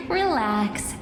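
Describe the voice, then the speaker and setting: A woman playing a gentle, knowing young female companion in a video game, teasing and wistful. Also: very high voice, heavily acted. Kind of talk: English video game voice acting. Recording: echoey hall reverb, faint music behind.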